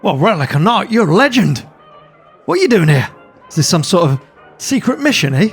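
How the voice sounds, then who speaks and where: A man voicing an excitable young helicopter pilot who talks eagerly and loudly. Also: sits high, a little fast, slightly rough, faint music behind, voice pushed up, exaggerated voice. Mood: excited. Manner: boisterous, eager, shouting with excitement.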